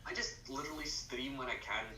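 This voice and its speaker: A young man in casual, halting chat as a guest on a friend's voice-call live stream. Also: medium pitch, sharp tone, slight background noise. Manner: rambling, halting, restarts phrases. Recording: echoey room, far from the mic.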